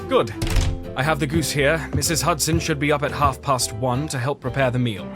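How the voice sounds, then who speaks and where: An actor playing a caring, exasperated Victorian doctor in an audio drama, fussy, earnest and gently scolding. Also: male voice, mid-range voice, fairly even intonation, moderate pace, music in the background, natural voice. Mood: brisk, reassuring.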